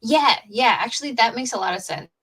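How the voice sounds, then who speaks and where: A young woman hosting a podcast, chatting casually with quick, bubbly reactions. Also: a little high, animated, a bit bright, breathy edge. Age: young adult. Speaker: podcast host.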